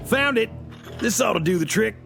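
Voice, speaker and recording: Folksy young adventurer for sci-fi audio drama: colloquial, creaky, with music behind. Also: male voice, high-pitched, expressive, normal speed, exaggerated voice. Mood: eager, casual.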